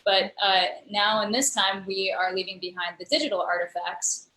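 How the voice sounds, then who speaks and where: A woman's voice: medium pitch, steady tone, moderate pace, pushed and bright, roomy sound, low-quality recording. A woman, a guest on a remote interview, explaining her work at length, thoughtful and full of fillers, heard over a video call. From United States. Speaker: guest, digital storyteller and musician. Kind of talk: casual interview conversation.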